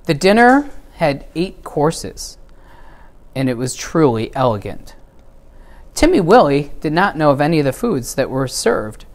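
A middle-aged man reads a children's story aloud in a measured, expressive way. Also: fairly high pitch. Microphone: lapel mic.

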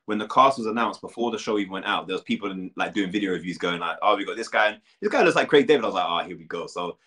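A man's voice: deep voice, animated, racing, sharp tone. A man in his thirties talking casually as an interview guest, full of filler words. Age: 30s. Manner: chatty, lots of "like" fillers.

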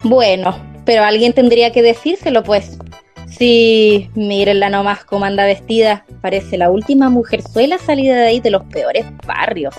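A woman's voice: mid-range voice, normal speed, a little mellow, faint music behind, lightly acted. A woman with a Chilean accent playing a prudish, gossipy mother in a radio drama, indignant and reproachful.

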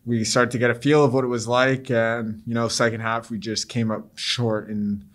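A young man, a pro soccer player, answering post-game press questions in a measured, steady way with frequent fillers. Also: mid-range voice. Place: press room before a sponsor backdrop.

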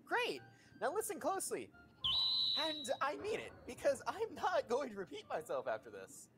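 A woman's voice: sits high, huge pitch swings, normal speed, very noisy. A woman playing a bossy, peppy young female Pokemon guide with a chirpy, instructive manner in a fan comic dub. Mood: bossy, eager. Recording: music under the voice.

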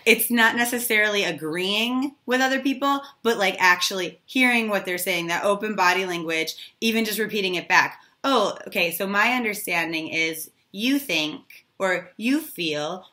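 A woman in her thirties, slightly hoarse from losing her voice, giving a casual, chatty tarot reading straight to viewers. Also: mid-range voice, pushed and bright. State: recovering from a lost voice, slightly hoarse. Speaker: tarot reader and video host.

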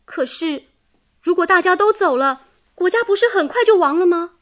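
High-voiced earnest young daughter. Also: female voice, expressive, moderate pace, a bit bright. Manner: earnest, emotional, pleading. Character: earnest, idealistic young daughter, patriotic and sensitive. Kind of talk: radio drama acting.

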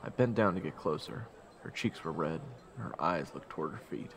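A young fantasy hero acted by a man tells his own story reflectively. His voice is fairly low and creaky yet varied in intonation, over clear music. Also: lively intonation, brisk. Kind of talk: first-person storytelling narration.

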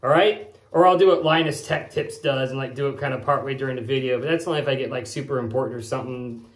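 A man's voice: medium pitch, fast talker. A man in his forties hosting a casual shaving vlog, talking in a chatty, rambling way while lathering and shaving in a small home bathroom. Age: 40s. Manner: chatty, rambling, folksy explanations. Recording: echoey bathroom.

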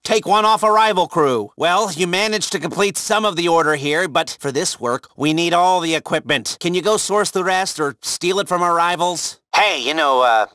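A wry, upbeat male heist handler from a video game. His voice sits high and is crisp-edged and bright, in a very dry recording. He briefs casually, with little sardonic asides. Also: normal speed, few pauses, no echo. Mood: wry, exasperated. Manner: informal, wry, hesitant asides. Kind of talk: video game mission dialogue.

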